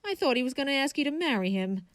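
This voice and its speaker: A woman's voice: sits high, expressive, fast talker, no echo, clean studio sound. A woman playing an inquisitive, prim young lady in a period audio drama. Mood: curious.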